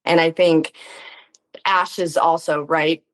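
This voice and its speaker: A woman's voice: low voice, little pitch movement, takes it slowly, poor audio. A woman speaking as an interview guest in casual, reflective chat, often laughing as she talks. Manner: giggly, laughs often while talking. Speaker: guest, player and actor on a tabletop game show.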